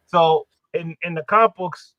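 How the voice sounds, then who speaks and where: A man's voice: a little high, unhurried, dry recording. A man hosting a casual live chat in an easygoing, loosely phrased conversational way, with muffled sound.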